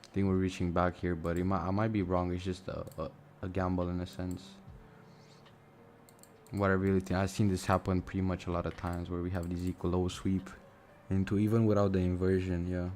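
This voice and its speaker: A young man with a Romanian accent talks loosely and off the cuff, thinking aloud with the odd curse. His voice sits very low. A faint noise hums behind him. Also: little pitch movement, brisk, a little mellow. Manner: casual, thinking aloud, swears freely. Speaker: live-streaming trader. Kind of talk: casual live-stream commentary. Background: music and mouse clicks.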